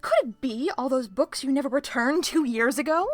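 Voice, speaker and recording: A woman's voice: high-pitched, expressive, moderate pace, dry recording, soft background music. A woman playing a sly, self-assured librarian with arch, playful, theatrical phrasing in an audio roleplay. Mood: teasing.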